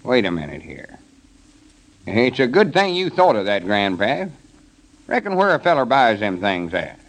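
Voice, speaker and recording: Folksy, swooping backwoods storekeeper. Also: male voice, medium pitch, big pitch swings, normal speed, poor audio. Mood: puzzled, pondering. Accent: rural Southern US. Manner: drawling, homespun, hesitant when thinking. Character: folksy male backwoods storekeeper, eager and scheming. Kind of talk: 1930s radio comedy serial acting. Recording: old 1930s radio broadcast.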